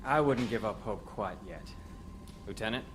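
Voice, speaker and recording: A man's voice: on the low side, lively intonation, moderate pace, background noise, lightly acted. Man acting an earnest young naval ensign. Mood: earnest, alert. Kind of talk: audio comic dub acting.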